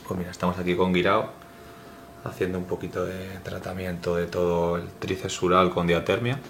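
Casting note: male physio, very deep and flat, calm and matter-of-fact, faint room hum. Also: normal speed. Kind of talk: explaining treatment.

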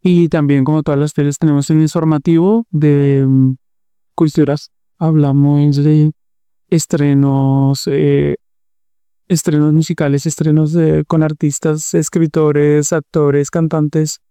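A man from Colombia, a TV producer answering interview questions in a relaxed, thoughtful way and repeating words as he searches for them. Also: on the high side, takes it slowly, clean tone, dry recording, studio quality.

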